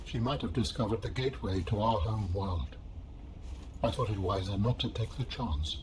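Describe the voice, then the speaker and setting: A low-voiced alien intelligence, played by a man, calm and secretive in a video game. The phrasing is measured and formal, with clear noise and ambience around it. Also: close to an everyday voice. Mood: calm, guarded. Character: calm, secretive male alien architect, a digital being. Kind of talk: scripted game voice acting.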